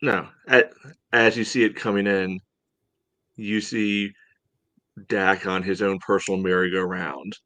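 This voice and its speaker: A man hosting a soccer podcast, chatting casually through match analysis in a halting way full of filler phrases. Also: low-pitched, flat delivery, pushed and bright, no echo. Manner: halting, peppered with "you know".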